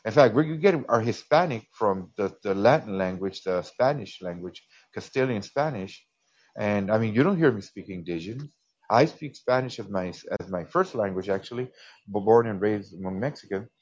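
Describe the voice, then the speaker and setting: A man's voice: on the low side, darkish tone. A man from the United States calling into a podcast, talking earnestly and stumbling over repeated words. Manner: earnest, repetitive, stammering restarts. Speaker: caller. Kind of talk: casual call-in chat. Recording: dull lo-fi call audio.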